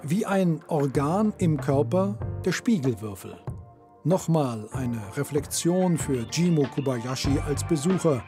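A man reading a scripted TV report narration in a smooth, measured way. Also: fairly high pitch, expressive. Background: soft music.

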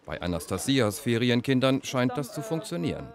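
A man's voice: mid-range voice, very animated, a little fast, a crowd in the background. A man narrating a scripted TV news report.

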